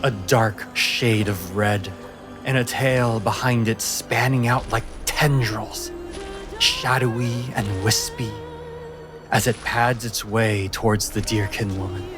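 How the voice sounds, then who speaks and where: A man with a fairly low voice narrates a fantasy tabletop game as game master. His pace is slow and dramatic, over clearly audible music. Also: steady tone.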